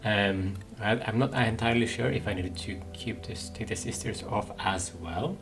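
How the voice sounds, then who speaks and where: A man's voice: on the low side, moderate pace. A man doing casual let's play commentary, musing tentatively and thinking aloud as a gaming streamer. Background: game music.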